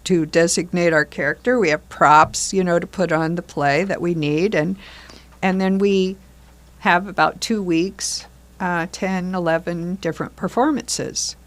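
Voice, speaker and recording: A woman's voice: lowish voice, a little slow. An older woman talking conversationally as a member of a community theater group, explaining things and posing questions. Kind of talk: conversational discussion.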